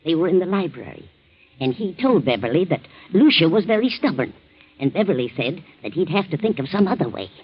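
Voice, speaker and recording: An actress playing an anxious, loyal female servant in a 1940s radio drama, husky and hesitant, on a muffled old recording. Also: low-pitched, very animated, fairly quick, full character voice. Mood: frightened, upset. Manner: hesitant, deferential.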